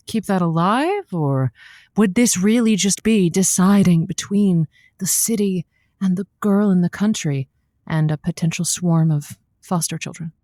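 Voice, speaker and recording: A woman's voice: medium pitch, a little mellow, no room sound, lightly acted. Sassy radio advice host played by a woman, dry studio. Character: sassy, feisty female call-in radio agony aunt. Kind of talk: improvised comedy call-in advice show.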